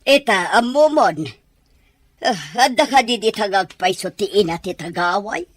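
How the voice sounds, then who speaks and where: A woman playing a sharp-tongued, snobbish gossip who questions people scornfully. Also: mid-range voice, lively intonation, measured pace, no room sound.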